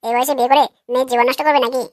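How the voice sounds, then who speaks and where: A woman's voice: sits high, steady tone, racing, no room sound, studio quality, natural voice. A woman playing a cheeky, sceptical young male heckler in a comic cartoon, taunting and crude. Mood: mocking. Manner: taunting, foul-mouthed.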